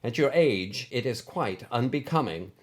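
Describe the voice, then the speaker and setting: A man's voice: medium pitch, lively intonation, a little slow, close to an everyday voice. A man voicing a stern, prim elderly woman schoolteacher who scolds in formal, precise words. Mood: stern scolding. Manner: formal, reproving, precise diction.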